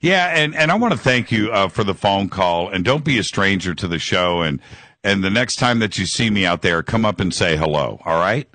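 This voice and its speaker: A male radio host with a low voice that swings up and down with feeling. He talks with eager enthusiasm, doubling back on his words and filling gaps with "uh" and "you know". The sound is close and dry, like a studio mic. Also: animated, moderate pace, bright, forceful tone, dry recording. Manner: enthusiastic, repeats himself, lots of fillers. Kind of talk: live call-in radio talk.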